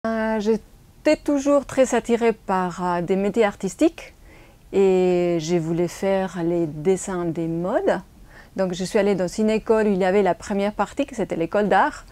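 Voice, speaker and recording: Woman with a Spanish accent, mellow voice. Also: medium pitch, normal speed. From Spain. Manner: plain, slightly non-native phrasing. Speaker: graphic designer and photographer. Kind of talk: interview answers.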